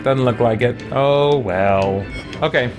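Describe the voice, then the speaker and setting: Male game streamer, mid-pitched, casual think-aloud commentary, chatty with viewers, clear music behind him. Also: slow pace. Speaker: streamer playing a video game.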